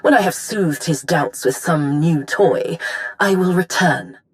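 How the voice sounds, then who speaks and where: A woman playing a solemn, secretive Sith lord in a video game monologue, speaking formally and gravely. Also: fairly low pitch, a little slow, natural voice. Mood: grave, resolved.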